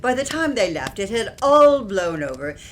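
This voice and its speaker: Woman acting an animated, genteel Victorian wife. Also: mid-range voice, moderate pace, lightly acted. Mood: earnest, distressed. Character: genteel anxious Victorian wife of a missing man. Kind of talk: audio theatre acting. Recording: faint background noise.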